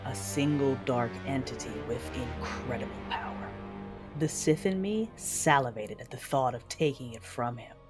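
An actress playing an intense, coolly confident Sith warrior woman, narrating a sci-fi audio drama in a husky, measured voice over music. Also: rumbling low. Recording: music under the voice.

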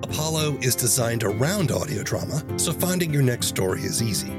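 A man's voice: medium pitch, sing-song, a little fast, over loud music. A man hosting a podcast in a scripted, presenting style as he introduces and interviews. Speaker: podcast host.

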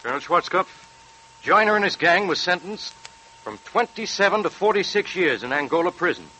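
An actor playing a police superintendent, soberly narrating a case in formal 1940s radio style. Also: male voice, high voice, animated, a little fast, bright, forceful tone, poor audio. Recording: vintage radio with faint hum.

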